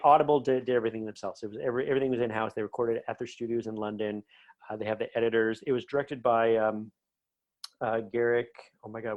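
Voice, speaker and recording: A man's voice: medium pitch, darkish tone, lo-fi sound. A man speaking casually as an interview guest, explaining things in a rambling, enthusiastic way. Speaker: podcast guest, writer.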